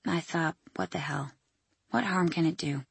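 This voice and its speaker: A woman voicing a kind young man who works in a hospital, speaking calmly and reassuringly. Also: low voice, normal speed, vocal fry, husky, no echo, heavily acted.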